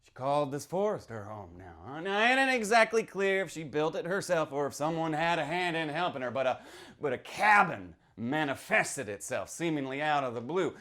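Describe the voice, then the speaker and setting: A man playing a folksy American small-town storyteller, telling a dark witch legend in a theatrical, conspiratorial way with questioning tags. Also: fairly high pitch, very animated, moderate pace. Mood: grave, emphatic. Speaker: author reading from a novel. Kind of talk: theatrical in-character reading of horror fiction.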